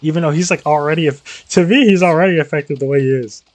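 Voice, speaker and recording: A man's voice: fairly high pitch, fast. A man giving casual, slangy, sweary live commentary as a game streamer. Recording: game music behind the voice. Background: video game music.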